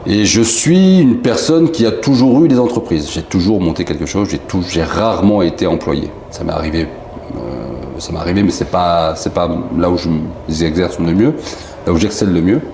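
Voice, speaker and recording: A man's voice: deep voice, moderate pace, mellow. A man from France answering interview questions in a chatty, joking, self-mocking way, with many fillers. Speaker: founder of a poker company, interview guest. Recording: roomy room with faint noise.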